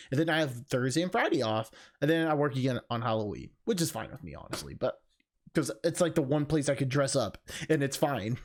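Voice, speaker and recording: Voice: mid-range voice, darkish tone. A video game streamer with an informal way of talking, narrating and chatting to viewers. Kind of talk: reading a visual novel aloud, casual vlog chat. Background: music.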